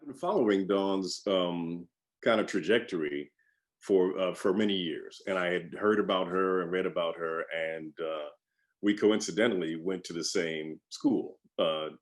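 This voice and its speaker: A middle-aged man, an actor, speaking reflectively and in a measured way in an interview. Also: deep voice. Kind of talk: interview testimonial.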